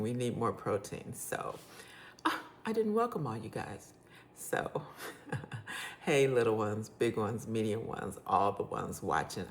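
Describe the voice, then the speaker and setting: A woman with a low, loud voice talks viewers through a recipe in her home kitchen, chatty and homey. She is recorded on a phone and then a small clip-on mic, with a faint hum of room ambience behind her. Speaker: home cooking host. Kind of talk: casual cooking demonstration. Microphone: phone, later a clip-on mic.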